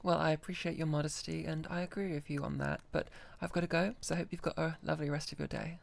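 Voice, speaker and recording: A woman casually questioning a conversation partner as an interviewer, polite, curious and gently persistent. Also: deep voice, level tone, quick pace, vocal fry. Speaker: interviewer testing an AI voice.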